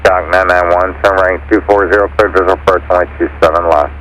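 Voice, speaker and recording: A man's voice: deep voice, flat delivery, quick pace, a bit bright, rough recording. A man working as an air traffic controller, giving clipped, procedural instructions over aviation radio. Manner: procedural, businesslike.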